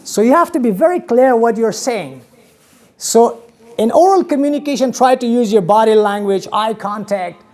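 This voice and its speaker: A man's voice: very high voice, moderate pace. An Afghan-accented man in his thirties lectures a class in a small classroom, gesturing and throwing questions at his students. His voice is picked up by a camera across the room. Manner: animated, gestures widely, asks the class questions. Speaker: English teacher.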